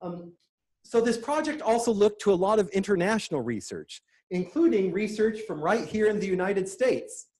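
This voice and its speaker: A man's voice: high voice, animated, moderate pace, a little mellow. A middle-aged man giving an enthusiastic, lightly joking conference presentation with slides. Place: conference room.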